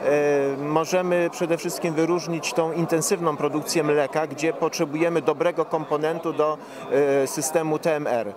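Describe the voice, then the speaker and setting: A middle-aged man giving formal, measured expert explanations as an interviewed professor. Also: a little high, fairly even intonation. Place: interview stand at a busy indoor forum. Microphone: handheld reporter microphone held out to him. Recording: busy trade event crowd noise.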